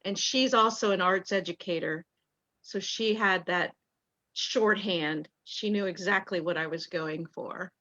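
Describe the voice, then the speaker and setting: Slow-talking woman in a dull, roomy recording. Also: on the low side, pushed and bright. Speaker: theatre director. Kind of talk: reflective interview talk. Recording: dull, roomy video-call sound.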